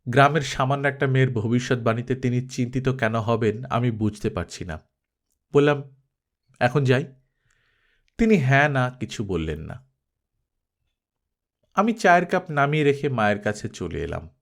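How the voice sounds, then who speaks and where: A male narrator reads a story aloud. His voice sits at a middle pitch and moves expressively through the scripted prose. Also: expressive, normal speed. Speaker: audiobook narrator.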